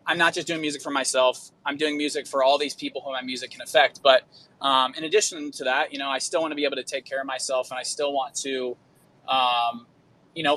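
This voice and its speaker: A man with a bright, forward voice speaks off the cuff as a guest musician. He rambles in a personal, informal way, sprinkling in slangy filler phrases. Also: fairly high pitch, low-quality recording. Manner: informal, rambling, frequent "dude" and "you know". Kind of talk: casual chat, personal monologue.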